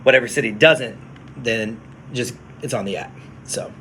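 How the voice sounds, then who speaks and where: A man who works as a radio DJ, chatting casually on a phone livestream from his studio, relaxed and explaining things to viewers. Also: medium pitch, normal speed, slight background noise.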